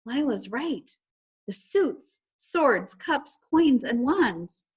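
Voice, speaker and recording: A woman voicing a sharp-eyed, eager storybook girl with an urgent, emphatic way of speaking. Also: high voice, very animated, very slow, slightly rough, pitched above the performer's own voice, full character voice.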